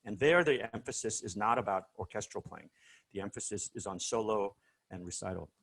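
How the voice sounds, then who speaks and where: A man's voice: on the low side, fairly quick, vocal fry, a bit bright, husky. A man from South Korea being interviewed over a video call, telling stories casually with many fillers and restarts. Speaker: guest musician.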